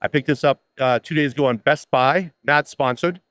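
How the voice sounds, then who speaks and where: A man with a loud voice, chatting casually as a video creator interviewing at a trade show. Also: mid-range voice, clean tone, dry recording, clean studio sound. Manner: loud, chatty, asks quick questions. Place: busy trade show floor.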